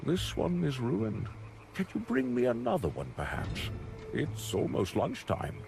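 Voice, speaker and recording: Croaky, high-pitched little gnome. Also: male voice, wildly swooping pitch, unhurried, music in the background, heavily acted. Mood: cheeky wheedling. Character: cheeky, callous little gnome cook. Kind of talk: video game character acting.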